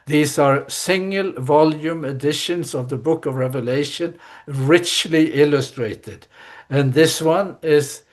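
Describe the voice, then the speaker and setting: A man giving a class presentation over a video call, relaxed, with fillers and "you see" asides. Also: a little high, takes it slowly. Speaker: lecturer.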